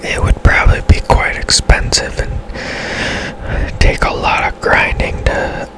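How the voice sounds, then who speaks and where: A man giving casual, hushed ASMR commentary over a video game in a breathy whisper, musing in an unhurried way. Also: rough recording. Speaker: game streamer, host.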